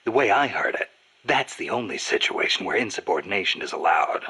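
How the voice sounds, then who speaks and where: Gruff American game soldier, husky rasp, deep register, terse, skeptical, battle-hardened. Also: male voice, animated, brisk, bright, forceful tone, exaggerated voice, rough recording. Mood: skeptical, challenging. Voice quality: gravelly, raspy growl. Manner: terse, blunt, dry. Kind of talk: video game dialogue acting.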